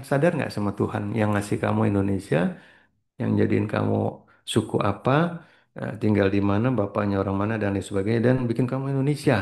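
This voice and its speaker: A middle-aged man gives a loose, meandering motivational talk in a low-pitched voice. He drifts between thoughts, slips English words into his speech and clears his throat often. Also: darkish tone. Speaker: spiritual trainer.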